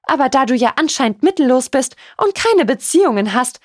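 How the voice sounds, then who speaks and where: Woman playing a haughty, shrill-edged aristocratic lady. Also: sing-song, fairly quick, no echo, clean studio sound. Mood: shrill indignation. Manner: cutting, indignant, condescending. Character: haughty, venomous aristocratic older lady, hostile mother.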